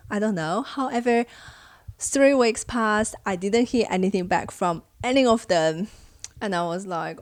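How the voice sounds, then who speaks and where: A young woman vlogger from China, speaking English as her second language, talks through her own experiences in a relaxed storytelling way. Her voice sits a little low, and the sound is close and dry with no room around it. She perks up and turns lively whenever she replays old conversations. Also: no echo. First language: Chinese. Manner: animated when acting out past conversations. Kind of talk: casual storytelling to camera.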